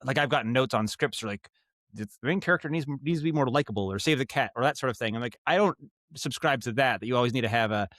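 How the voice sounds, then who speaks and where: A man's voice: mid-range voice, quick pace, fairly bright tone, dry recording. A man giving casual interview answers as a guest, thinking aloud in a rambling, thoughtful way and often rephrasing. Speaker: podcast guest, writer and audio drama director.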